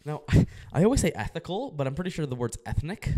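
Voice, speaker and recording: A man's voice: a little high, very animated, brisk, dark tone. A young man co-hosting a casual podcast, rambling with lots of fillers and restarts. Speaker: podcast co-host.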